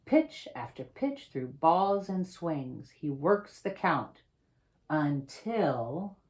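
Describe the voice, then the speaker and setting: A woman reading a children's picture book aloud as its author, with theatrical, emphatic delivery and drawn-out, suspenseful phrasing. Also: deep voice, big pitch swings, darkish tone. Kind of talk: dramatic read-aloud storytelling for children.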